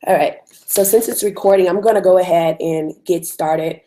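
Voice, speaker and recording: A woman with a hoarse voice from a cold, hosting a live online webinar in a conversational way with frequent ums. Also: on the low side, normal speed, warm tone, low-quality recording. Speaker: webinar host.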